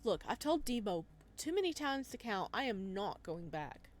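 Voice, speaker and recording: A woman's voice: mid-range voice, big pitch swings, normal speed, natural voice. A woman voicing a tall, dismissive, guarded game character with a brusque, judgmental manner.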